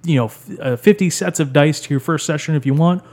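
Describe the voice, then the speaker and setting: A man's voice: a little high, fast talker, darkish tone. A man co-hosting a casual gaming podcast, talking in a blunt, laid-back way. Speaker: podcast co-host.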